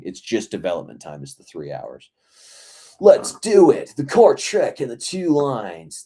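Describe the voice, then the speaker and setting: Hoarse man mumbling through a dev log. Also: lowish voice, mellow. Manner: rambling, mutters half to himself. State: hoarse, losing his voice. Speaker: indie game developer. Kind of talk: casual dev log, thinking aloud while working.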